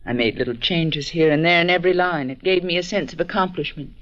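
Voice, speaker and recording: A man's voice: fairly high pitch, fast, crowd noise, lightly acted. A man playing a cool, candid magazine publisher in a 1940s radio drama, speaking with wry composure. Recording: dull vintage radio recording.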